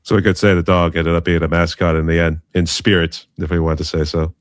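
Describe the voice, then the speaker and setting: A man's voice: low-pitched, level tone, a little fast, clean tone, no echo, studio quality. A man hosting a music podcast, asking loose, friendly questions packed with fillers. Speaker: podcast host. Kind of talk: casual interview hosting.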